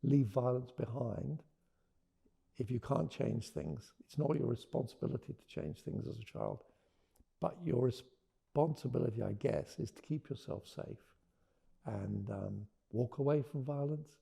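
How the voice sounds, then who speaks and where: A man speaking as a children's storyteller, with earnest, deliberate phrasing. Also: medium pitch, vocal fry, dark tone, slightly rough. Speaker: author and storyteller. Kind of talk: expressive picture-book read-aloud and informal explanation.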